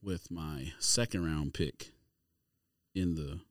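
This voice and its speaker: A man's voice: a bass voice, slow, a bit bright, dry recording, studio quality. A man co-hosting a casual sneaker podcast with joking, slangy banter. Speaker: podcast co-host.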